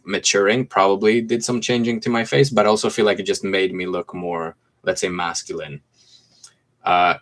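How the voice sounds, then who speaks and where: A man in his twenties from Greece, speaking with a slight American accent and a deep, low voice. He chats in a relaxed, easygoing way on a phone livestream at home, reading out comments and answering them one by one. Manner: laid-back, chatty, reads comments aloud and answers them. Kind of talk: casual livestream chat answering viewer comments. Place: room at home with mint green walls.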